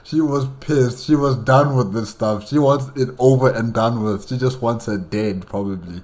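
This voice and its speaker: A man hosting a reaction video, commenting off the cuff in a halting, repetitive way as he searches for words. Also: mid-range voice, darkish tone.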